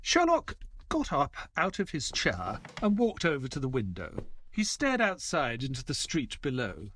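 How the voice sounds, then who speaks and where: Measured male chronicler of grim wartime crimes actor, audio drama, dramatic and widely varied in pitch. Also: wildly swooping pitch, fairly bright tone, a little hiss or hum. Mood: grave, reflective. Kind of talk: scripted audio drama narration.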